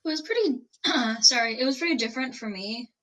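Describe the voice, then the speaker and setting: A young woman speaking softly and hesitantly in a casual chat. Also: fairly high pitch, expressive, brisk, rough recording. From United States.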